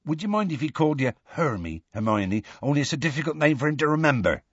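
A man voicing a gruff, big-hearted half-giant gamekeeper who shouts up and coaxes. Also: on the high side, big pitch swings, quick pace, dry recording, muffled recording, pitched above the performer's own voice. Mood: coaxing. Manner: shouting up, coaxing and scolding.

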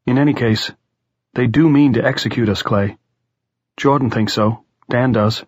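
A man's voice: mid-range voice, normal speed, husky, no echo, lo-fi sound, lightly acted. A man reading an audiobook, voicing a thoughtful, wry grown man. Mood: calm.

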